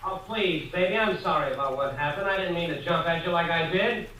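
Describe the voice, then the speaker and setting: An actor playing an apologetic husband, urgent and coaxing, in a 1950s radio drama. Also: male voice, on the high side, a little fast, sharp tone. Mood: pleading. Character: pleading, apologetic husband shut out by his wife. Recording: distant, echoing, noisy old radio recording.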